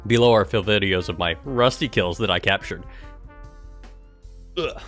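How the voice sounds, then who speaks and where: Male streamer, low register, dry sarcasm and exasperation, casual sweary commentary over faint music. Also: moderate pace, fairly bright tone. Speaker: streamer and gaming guild member. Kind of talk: live stream reading aloud with sarcastic commentary.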